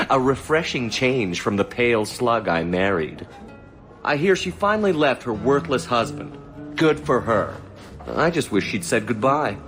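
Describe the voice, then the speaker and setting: Cocky, lively young elf courtesan. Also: male voice, medium pitch, a little slow, soft background music. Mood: teasing, scornful. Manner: sly, teasing, sardonic. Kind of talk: dramatic video game dialogue.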